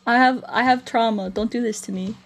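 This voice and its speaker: A woman's voice: on the high side, fairly even intonation, fairly quick, vocal fry, faint music behind. A woman livestreamer in casual, playful, rambling chat, answering her viewers aloud as she plays a game.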